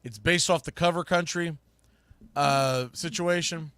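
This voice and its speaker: A man's voice: a little high, unhurried, fairly bright tone, smooth, no echo. A middle-aged man hosting a sports talk show, chatting casually and informally in a rambling, mildly salty way. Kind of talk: casual, rambling live podcast chat.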